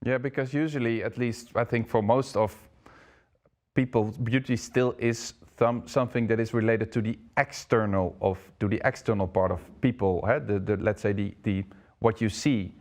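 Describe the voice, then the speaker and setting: A man's voice: on the low side, steady tone, moderate pace, darkish tone. A man hosting an online interview, hesitant, often repeating words as he asks questions. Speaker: interview host.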